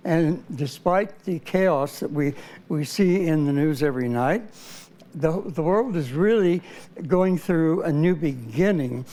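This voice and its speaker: A man's voice: on the high side, a little slow, mellow. A man explaining numerology as a guest on a talk show, speaking hesitantly with frequent fillers. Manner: hesitant, many uh fillers and restarts.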